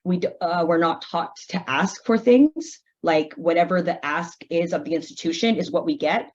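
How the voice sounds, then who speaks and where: A woman artist on a panel, speaking reflectively and haltingly with restarts. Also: on the low side, darkish tone, muffled recording. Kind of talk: casual panel discussion.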